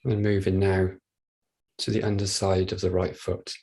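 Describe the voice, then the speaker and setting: Male meditation teacher, husky and low, flat and gentle delivery, unhurried guided practice. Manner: unhurried, gentle, with long pauses. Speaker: meditation teacher leading a body scan. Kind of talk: guided meditation, soft instruction.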